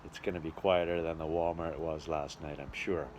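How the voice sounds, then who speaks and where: Low voiced guy rambling into a vlog, chatty, some background noise. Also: fairly even intonation, moderate pace, darkish tone. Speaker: travelling musical entertainer and vlogger. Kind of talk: casual video diary chat.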